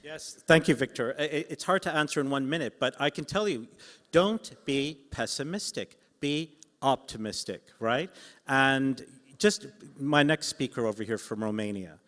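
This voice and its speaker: A man's voice: mid-range voice, moderate pace, a bit bright. A man speaking live on a conference panel, persuasive and emphatic, in short punchy phrases. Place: large indoor conference hall. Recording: echoey hall sound system.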